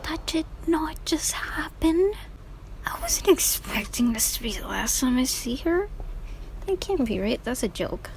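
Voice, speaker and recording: A woman's voice: sits high, sing-song, measured pace, a little hiss or hum, poor audio. Young woman game streamer, slangy and reactive. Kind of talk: casual gaming commentary, reading dialogue choices aloud.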